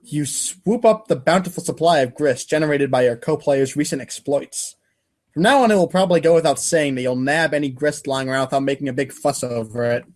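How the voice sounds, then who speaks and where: Higher-voiced man, theatrical wry narrator. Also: fairly quick, darkish tone. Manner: theatrical, wry, stumbles over long words. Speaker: narrator of a comedic reader's theater podcast. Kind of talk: dramatic reading with second-person narration.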